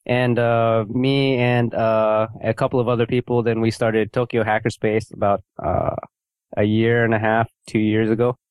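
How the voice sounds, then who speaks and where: A low-voiced man from California with an American accent chats as a guest over a remote call. He talks haltingly, with plenty of fillers and restarts. The sound is dry and close. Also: little pitch movement, clean tone, no room sound. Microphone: remote call setup.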